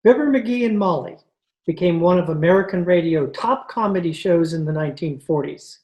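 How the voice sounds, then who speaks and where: A woman's voice: on the low side, brisk, dark tone. A woman announcer reading scripted narration for an old-time radio show. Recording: video call recording.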